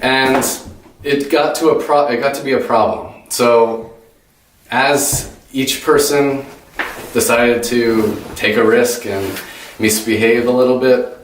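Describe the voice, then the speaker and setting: A young man with a low voice presents his tutoring work in a halting way, pausing on ums and correcting himself. He is heard from a distance in an echoey room. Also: slight background noise. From United States. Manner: hesitant, many ums and self-corrections. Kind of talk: informal class presentation.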